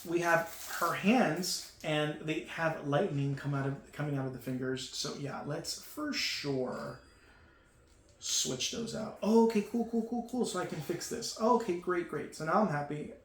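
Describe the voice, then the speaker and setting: Guy gushing over a toy unboxing, kinda high voice, lots of um and uh, roomy echo. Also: unhurried. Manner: enthusiastic, gushing, with frequent fillers. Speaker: toy reviewer. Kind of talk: casual unboxing commentary. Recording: echoey room with faint hum.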